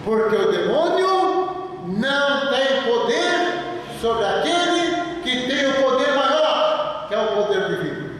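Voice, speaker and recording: Older brazilian preacher, kinda high voice, hammering words again and again, recorded far off in a big echoey church with noise. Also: male voice, very animated, a bit bright. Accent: Brazilian Portuguese. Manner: emphatic, repeats words, stretches phrases slowly for stress. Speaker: pastor. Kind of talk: sermon.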